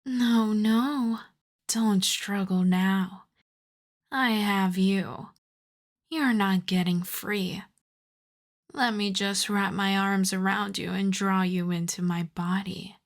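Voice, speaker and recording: A woman playing a teasing, imperious older vampire countess in an ASMR roleplay, speaking deliberately and theatrically, with touches of breathy whisper. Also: medium pitch, fairly even intonation. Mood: teasing, coaxing.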